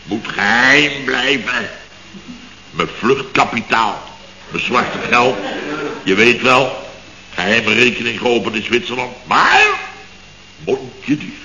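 An actor playing a pompous, boastful building-firm boss in a 1960s radio comedy, rambling and self-satisfied. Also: male voice, medium pitch, slow pace, pauses often, pushed and bright. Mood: boastful blustering. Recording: dull, echoey old radio studio recording.

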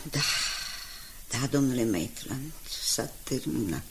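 An actress playing a dutiful, sorrowful newspaper secretary in a radio drama, speaking respectfully with subdued emotion. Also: female voice, a bass voice, lively intonation, noisy background. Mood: sorrowful, bitter. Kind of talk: 1980s Romanian radio drama acting.

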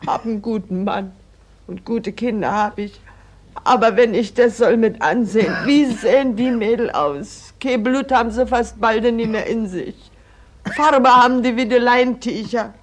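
Plaintive old Silesian weaver's wife. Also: female voice, fairly high pitch, unhurried. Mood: plaintive despair. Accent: Silesian German. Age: older. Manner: lamenting, plaintive. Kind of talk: dialect radio drama acting.

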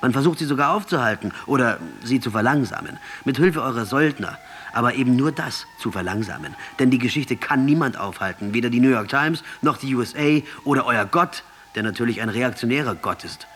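An actor in a German radio play voicing a fervent, mocking revolutionary commander with a crude mouth. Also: male voice, mid-range voice, lively intonation, fairly quick, fairly bright tone, natural voice. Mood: fervent conviction. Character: fervent, defiant Latin American guerrilla commander and revolutionary. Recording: noticeable background noise.

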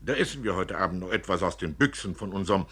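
A fond, joking father in a radio drama, played by a man, caring and chatty as he fusses. The voice is husky and swings widely in pitch. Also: animated, fast, a bit bright, full character voice. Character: fond, joking father, fussing over his daughter. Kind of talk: radio play literary reading.

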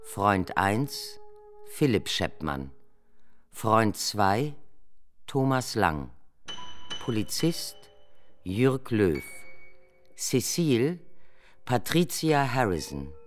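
A man's voice: lowish voice, sing-song, extremely slow, long pauses. A man formally announcing the credits of a radio drama, with music in the background. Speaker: announcer reading production credits.

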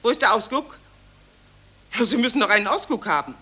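Gushing, high-voiced, animated lady war reporter. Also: fairly quick, breathy edge, full character voice. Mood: self-satisfied. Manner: affected, theatrical, grandiloquent declaiming. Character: gushing, self-important female war reporter thrilled by battle. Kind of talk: satirical radio drama acting.

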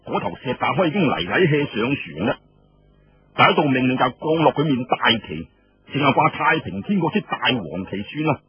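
A man's voice: mid-range voice, sing-song, a little fast, soft background music. A man with a Cantonese accent narrating a story in a dramatic, colloquial style with conversational asides. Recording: dull lo-fi radio-style audio, faint noise.

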